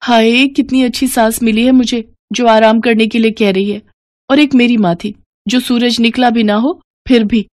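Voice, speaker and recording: A woman's voice: a little high, steady tone, normal speed, darkish tone, dry recording, studio quality, close to an everyday voice. A woman voicing a naive, sweet young new bride, polite and earnest, in a cartoon story. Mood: pleased. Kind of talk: Hindi cartoon story voice acting.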